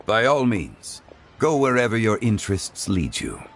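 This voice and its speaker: A man voicing a courteous, refined gentleman in a video game who speaks in a formal, measured and eloquent way. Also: on the low side, sing-song, slight background noise. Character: refined, courteous gentleman fond of the finer things. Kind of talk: video game cutscene dialogue.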